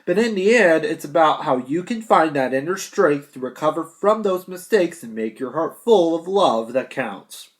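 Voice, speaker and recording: A man's voice: on the high side, animated, normal speed, few pauses. A young American man delivering a prepared graduation speech in an earnest, declamatory manner, with emphatic short phrases. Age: young adult. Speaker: graduating high school student giving a speech.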